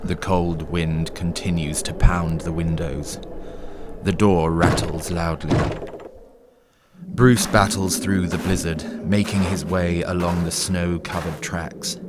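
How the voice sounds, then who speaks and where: Man, very low voice, slow measured horror narration, heavy background noise. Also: steady tone. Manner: measured, descriptive storytelling. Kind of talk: scripted horror story narration.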